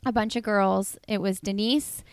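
A woman's voice: medium pitch, fairly even intonation, smooth, no room sound. A woman hosting a podcast, chatting and interviewing a friend in an enthusiastic, friendly way. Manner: chatty and enthusiastic, quick to laugh. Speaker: podcast host. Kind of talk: casual interview chat.

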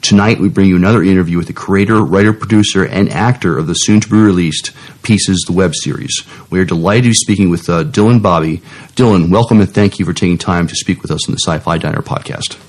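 A man's voice: sits low, fast. A man co-hosting a podcast, presenting and chatting with an interview guest. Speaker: podcast co-host. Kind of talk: formal podcast introduction and chat.

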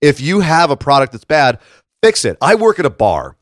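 A man's voice: on the high side, big pitch swings, moderate pace, no room sound. Guy podcasting with a froggy morning voice, casual but emphatic, close dry sound. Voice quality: froggy, slightly hoarse voice. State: tired froggy morning voice after a late shift. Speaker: podcast host. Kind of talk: casual wrestling commentary chat.

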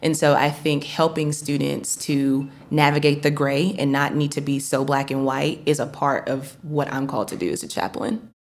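A woman's voice: deep voice, steady tone. A woman, an assistant university chaplain, speaking thoughtfully in an interview soundbite. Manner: thoughtful, measured, with ums.

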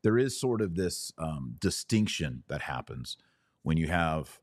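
A man's voice: rumbling low, very animated, unhurried, dry recording. An American man speaking as a podcast host in conversational religious commentary, emphatic, often in short repeated phrases.

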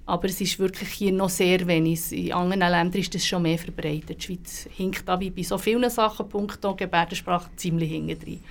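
A woman's voice: lowish voice, fairly even intonation, brisk, vocal fry, hardly any pauses. Eager woman interpreter. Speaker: sign language interpreter. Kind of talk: casual chat.

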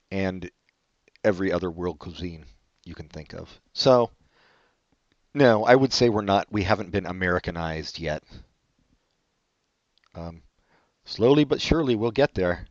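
A man with a lowish voice riffs sarcastically through silly online questions, his pitch leaping and dropping all over. The sound is muffled and lo-fi, close and dry with no room echo. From California. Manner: sarcastic, deadpan jokes with sudden pitch jumps. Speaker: co-host reading online questions. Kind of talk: casual comedic banter. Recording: dull, muffled lo-fi audio.

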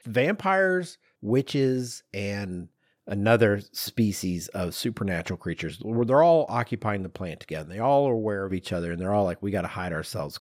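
A man's voice: lowish voice, expressive, normal speed, dry recording, clean studio sound. A man co-hosting a podcast in casual chat, rambling through hypotheticals and acting out imagined bits of dialogue. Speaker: podcast co-host.

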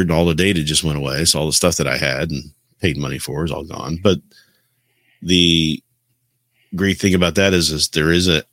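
A man's voice: deep voice, a bit bright, no echo. A man explaining things in a casual podcast chat about video games, telling it like a story. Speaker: podcast co-host.

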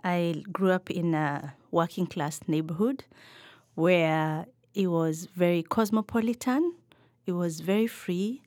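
A middle-aged woman from Kenya, a podcast guest answering in a thoughtful, measured way with some fillers. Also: fairly low pitch, a little mellow, dry recording, clean studio sound. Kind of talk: reflective interview answers.

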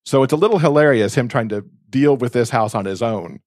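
A man's voice: mid-range voice, brisk, a little mellow, no room sound. Man gushing casually with a dry, close sound. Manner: effusive, emphatic. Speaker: podcast co-host recommending books. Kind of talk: casual enthusiastic book chat.

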